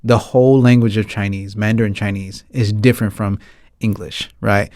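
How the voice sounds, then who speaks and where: An American man hosting a casual film talk show, chatting to his audience in an animated, playful way with frequent asides. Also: fairly low pitch, normal speed, dry recording, clean studio sound. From United States. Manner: animated, playful, conversational asides, often asking "right?". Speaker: podcast host. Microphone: close studio mic on boom arm, headphones.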